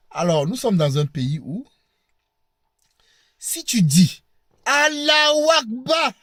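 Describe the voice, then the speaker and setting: Man, hoarse from a cold, slow halting vlog delivery, animated pitch. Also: no room sound, clean studio sound. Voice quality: husky. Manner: halting, repeats words, laughs often. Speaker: video blogger. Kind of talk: casual vlog to viewers. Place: in a parked car.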